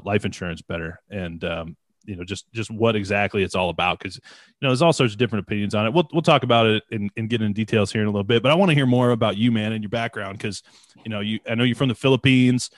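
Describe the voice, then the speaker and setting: A man's voice: on the low side, dry recording, studio quality. A man hosting an interview podcast, chatting casually and telling stories with lots of fillers like "you know" and "um.". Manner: chatty, rambling storyteller with many fillers. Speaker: podcast host.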